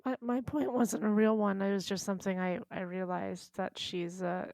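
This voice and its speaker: A woman's voice: mid-range voice, flat delivery, normal speed, vocal fry, darkish tone, dry recording, clean studio sound. A woman co-hosting a podcast, chatting in an informal, conversational way. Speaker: podcast co-host. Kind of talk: casual chat.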